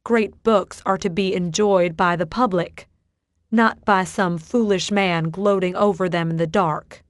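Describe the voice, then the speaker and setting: A woman's voice: fairly low pitch, fairly even intonation, measured pace, dry recording, clean studio sound, close to an everyday voice. A woman voicing a stern, no-nonsense woman who runs a library and gives orders bluntly. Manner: blunt, commanding.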